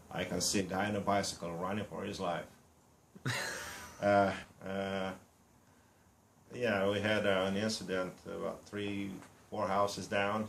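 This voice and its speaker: Romanian-accented man, 50s, deep voice, blunt and boastful, halting English, echoey room. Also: slight background noise. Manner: blunt, boastful, broken English with pauses and fillers. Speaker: reality show applicant. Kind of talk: casual self-introduction to camera.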